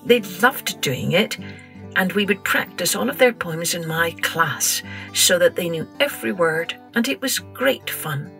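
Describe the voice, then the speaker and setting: Older scottish lady reading kids stories, bit creaky, takes her time, really theatrical. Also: on the low side, very animated, faint music behind. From Scotland. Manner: theatrical, deliberate, drawn-out delivery with hushed passages. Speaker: children's storytelling podcast host. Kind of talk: expressive storytelling and poem recital for children.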